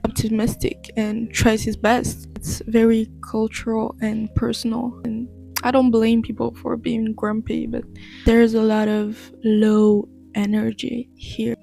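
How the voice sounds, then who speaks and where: A young woman from Madagascar, a native French speaker, talking to camera in a slow, reflective vlog. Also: a little high, creaky, darkish tone. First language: Malagasy and French. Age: young adult. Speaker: vlogger.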